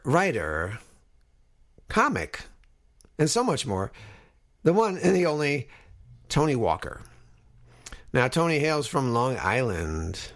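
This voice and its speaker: A man's voice: mid-range voice, fairly bright tone. A man of fifty hosting a podcast in a casual, conversational style, talking directly to his listeners as he introduces things. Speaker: podcast host.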